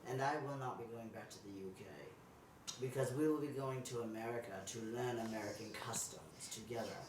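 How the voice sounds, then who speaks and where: Heard from a distance in an echoing room, a man plays a British gentleman of middling pitch. He is hopelessly smitten, speaking in earnest romantic declarations as part of a bawdy comedy sketch. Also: a little fast, natural voice. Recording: echoey, distant, noisy room recording.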